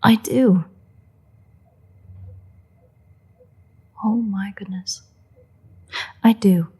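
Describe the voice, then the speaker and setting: Woman acting husky, creaky, teasing vampire. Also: fairly low pitch, darkish tone, heavily acted. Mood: gloating, menacing. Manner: playful, sarcastic, drawling. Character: teasing, unrepentant female vampire, sardonic and predatory. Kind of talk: intimate roleplay audio drama.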